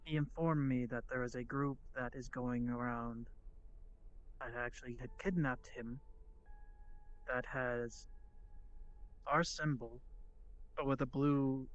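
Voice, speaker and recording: A man who speaks quietly and matter-of-factly while roleplaying in a virtual world. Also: mid-range voice, fairly even intonation, takes it slowly, long pauses, muffled recording. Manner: quiet, level, matter-of-fact. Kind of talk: in-character roleplay chat.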